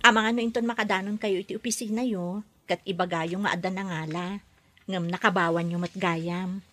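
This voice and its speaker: A woman playing a patient nanny and housemaid in a radio drama, gentle and politely deferential. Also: on the low side, fairly even intonation, a little fast.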